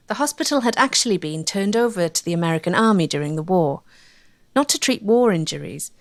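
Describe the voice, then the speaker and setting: A woman reads scripted documentary narration in a measured, articulate way. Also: medium pitch.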